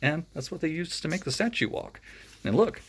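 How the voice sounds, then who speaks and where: A man's voice: a little high, animated, fairly quick, fairly bright tone, slightly rough. Man reading a kids' storybook. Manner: friendly, chatty asides between read passages. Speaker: children's story reader. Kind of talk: reading a storybook aloud to kids with asides.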